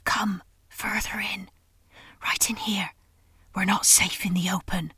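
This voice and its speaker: Storybook beaver, hushed and throaty, nervous and secretive, voiced by a woman reader. Slow, dry close sound. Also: medium pitch, fairly even intonation, no echo, heavily acted. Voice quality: hoarse throaty whisper. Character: wary whispering male beaver, secretive and nervous.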